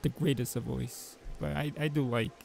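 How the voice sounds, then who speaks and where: A man with a gravelly voice reading a visual novel aloud as a game player, mixing narration with informal joking asides. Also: medium pitch, steady tone, normal speed, a little mellow, a little hiss or hum. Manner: informal, joking commentary between read passages.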